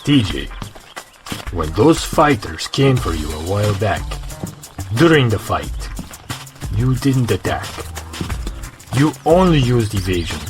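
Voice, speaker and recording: A man's voice: lowish voice, sing-song, slow pace, pauses often, over music. A man playing an inquisitive, loyal longtime companion of a fighter in an audio drama. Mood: curious, probing.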